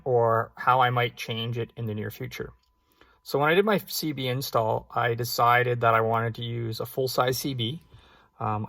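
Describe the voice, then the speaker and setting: A man's voice: medium pitch, a bit bright. Man casually explaining a DIY install. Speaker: vlogger giving a DIY install walkthrough. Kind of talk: casual how-to vlog, explaining hands-on.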